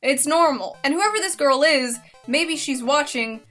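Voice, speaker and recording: A woman giving casual, sarcastic reaction commentary, mocking and expressive, swearing freely. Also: high-pitched, unhurried, soft background music. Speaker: online commentary host.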